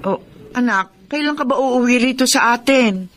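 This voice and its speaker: A woman's voice: a little high, moderate pace, slight background noise, close to an everyday voice. A Filipino woman playing a caring, coaxing middle-aged provincial mother and wife in a radio drama. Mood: fond, coaxing. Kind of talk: Tagalog radio drama acting.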